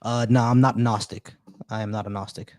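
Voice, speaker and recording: A man hosting a casual live stream, relaxed and chatty, greeting viewers with shout-outs and often restarting his sentences. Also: on the low side, a little fast. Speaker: livestream host.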